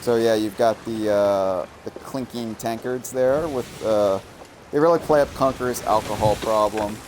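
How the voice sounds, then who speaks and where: A man's voice: on the low side, unhurried, noisy background. A man co-hosting a game stream, casually chatting and reading game text aloud.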